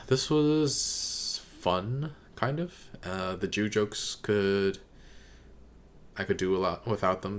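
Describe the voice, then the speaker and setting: Low-pitched man casually thinking aloud. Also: a bit bright. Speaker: reaction video host. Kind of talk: casual unscripted commentary.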